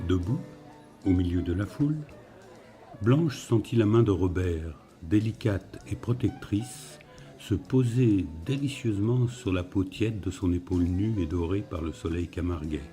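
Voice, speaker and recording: Low, mellow male storyteller over music. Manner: measured, literary reading. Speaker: narrator of a fiction. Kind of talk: scripted literary storytelling narration.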